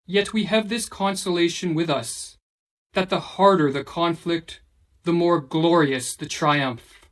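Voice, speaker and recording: A man reading a quotation as a voice-over in a slow, measured, solemn way. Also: a little high, fairly even intonation.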